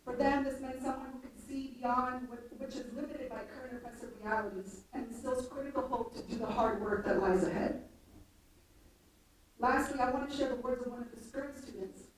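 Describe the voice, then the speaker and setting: A young adult woman reading a prepared introduction speech in a measured, formal way. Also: a little high. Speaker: introducer of a keynote speaker. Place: large room at a live event. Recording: very echoey room, distant mic, faint noise.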